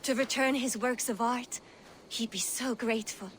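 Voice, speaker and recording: Woman acting a wistful, higher-voiced Viking villager. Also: steady tone, normal speed, a little hiss or hum, close to an everyday voice. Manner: earnest, wistful. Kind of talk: video game dialogue acting.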